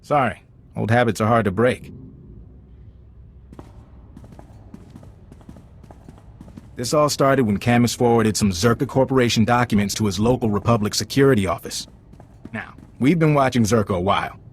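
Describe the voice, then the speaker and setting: A man acts out a male intelligence agent from a sci-fi video game. He briefs a recruit frankly and informally, with a wry edge and a middle-pitched voice. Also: a little fast, slight background noise, close to an everyday voice. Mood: earnest, wry.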